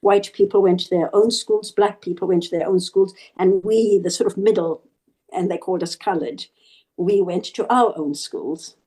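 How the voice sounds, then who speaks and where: Mellow-voiced woman recalling childhood. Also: mid-range voice, normal speed. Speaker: guest. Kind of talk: interview storytelling.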